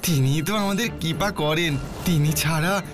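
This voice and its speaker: Man as earnest, higher-pitched cartoon villager. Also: moderate pace, lightly acted. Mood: devout, insistent. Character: doctor and pious rescued villager, earnest grown men. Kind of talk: children's cartoon dubbing. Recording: music behind the voice.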